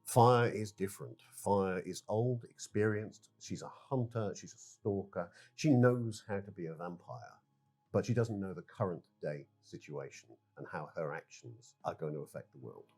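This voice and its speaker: A man's voice: sits low, normal speed, darkish tone, soft background music. A middle-aged man, a narrative director, explaining his game in a developer presentation.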